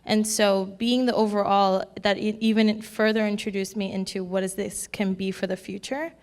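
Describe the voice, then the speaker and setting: A woman's voice: medium pitch, flat delivery, moderate pace, a little mellow. A young woman who recently graduated, speaking earnestly on a student panel with hesitant fillers. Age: young adult. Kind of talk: casual panel talk. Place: conference hall at a college. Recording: hall reverb.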